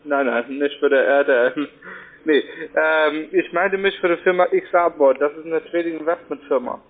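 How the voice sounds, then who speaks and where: A rather high-voiced man calls over a thin, tinny phone line to sell something. He is a non-native German speaker, pitching haltingly and checking that he is followed. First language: not German. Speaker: cold-calling telephone salesman.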